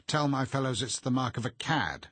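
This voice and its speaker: A blustering middle-aged schoolmaster, acted out by a male audiobook reader holds forth in short, slangy bursts. The voice is pitched up and rough-edged, full of smug, eager heartiness. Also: expressive, moderate pace, fairly bright tone, no room sound. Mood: firm, blustering. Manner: clipped, slangy, boastful phrases.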